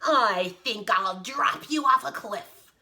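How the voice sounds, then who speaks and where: A woman's voice: sits high, animated, voice pushed up, heavily acted. Woman playing an overblown, emphatic storybook giant. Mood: menacing. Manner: loud, blustering, drawn-out words. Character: enormous boastful male giant, a bully cowed by his mother.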